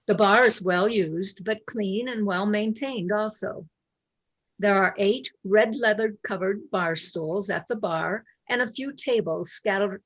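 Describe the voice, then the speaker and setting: Slow-reading woman narrator. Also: mid-range voice. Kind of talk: scripted stage-direction narration.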